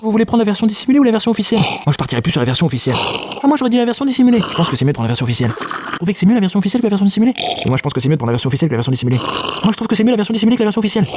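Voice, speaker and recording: An actor playing a bickering detective who dithers repetitively in a comedic audio drama. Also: male voice, high-pitched, sing-song, very fast, noisy background. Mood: frantic dithering. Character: two bickering detectives in frantic circular debate.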